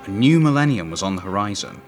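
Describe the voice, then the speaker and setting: A man's voice: lowish voice, animated, quick pace, faint music behind. A man narrating a documentary from a script.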